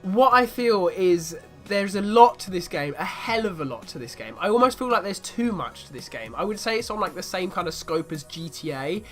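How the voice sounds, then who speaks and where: A man's voice: sits high, animated, normal speed, few pauses, fairly bright tone, faint music behind. A man with a bad cold giving a casual spoken review of a video game, chatty and fond of repeating "really, really".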